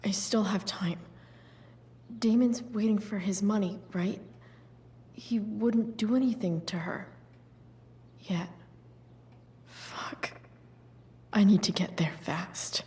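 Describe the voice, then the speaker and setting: An actress voicing a tough, guarded, foul-mouthed teenage girl in a video game, with a husky voice and muttered, halting delivery. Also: medium pitch, dark tone, close to an everyday voice. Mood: worried, urgent.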